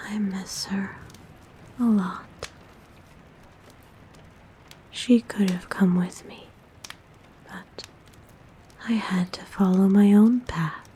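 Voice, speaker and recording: A woman voicing a kind, gentle forest witch in a soft, breathy whisper, soothing and tender, for an ASMR roleplay. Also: mid-range voice, steady tone, extremely slow, pauses often, slight background noise, rough recording. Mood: wistful.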